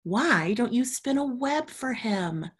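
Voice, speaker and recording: A woman voicing a fond, patient mother spider who gently teases and explains, in a children's storybook. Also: on the high side, expressive, takes it slowly, breathy edge, pitched above the performer's own voice, heavily acted. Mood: patient, gentle. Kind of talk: children's storybook read-aloud.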